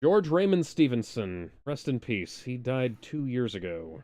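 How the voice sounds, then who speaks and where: A man's voice: medium pitch, sing-song, measured pace, dark tone, no room sound. A man chatting casually as a show host, rambling through commentary in a watch-along.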